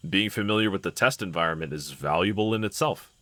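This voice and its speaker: A man playing a gentle, encouraging husband in an intimate scripted roleplay audio, speaking in a considerate, reassuring manner. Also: low voice, quick pace, dry recording. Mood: encouraging, supportive. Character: gentle, encouraging adult husband, calm and caring. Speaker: character audio voice actor.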